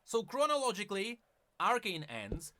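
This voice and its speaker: A man's voice: high voice, huge pitch swings, moderate pace, pushed and bright. A man giving semi-scripted explainer commentary on video, emphatic and with wry asides. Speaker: video essayist on game lore.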